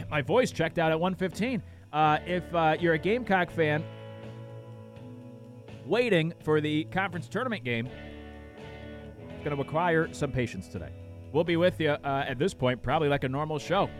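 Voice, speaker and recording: Hoarse-edged male sports radio host. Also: on the high side, animated, moderate pace, pauses often, faint music behind. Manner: casual, rambling, many fillers. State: losing his voice. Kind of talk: sports talk radio chat. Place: radio studio. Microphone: studio microphone.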